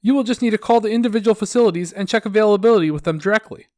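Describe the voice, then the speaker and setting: Relentlessly positive phone rep, played by a male narrator, high pitch, over-polite, dry studio-close sound. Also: rapid-fire, darkish tone, dry recording, lightly acted. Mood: chirpy, polite. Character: relentlessly cheerful, sugary male customer service rep.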